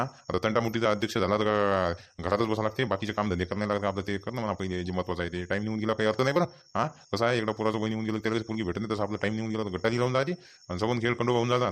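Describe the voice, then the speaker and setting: A man's voice: lowish voice, close to an everyday voice. A man playing an impatient, grumbling village gambler in a comedy cartoon, with a colloquial, nagging Varhadi Marathi accent from Vidarbha.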